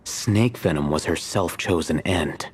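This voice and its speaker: A man voicing a calm, reserved male scholar in a game, composed and measured. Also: low-pitched, breathy edge.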